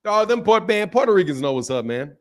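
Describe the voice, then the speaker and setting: A man with a fairly high-pitched voice hosts a loose live-stream about boxing. He reads viewer comments aloud and answers them, talking in an animated way. He swears freely and repeats his points. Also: fairly quick. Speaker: boxing talk show host reading chat. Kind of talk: casual live-stream chat.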